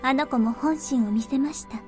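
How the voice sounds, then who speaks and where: A gentle young woman from a visual novel, played by an actress, high-voiced and reassuring. She speaks earnestly and briskly, with music playing behind her. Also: natural voice. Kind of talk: Japanese visual novel voice acting.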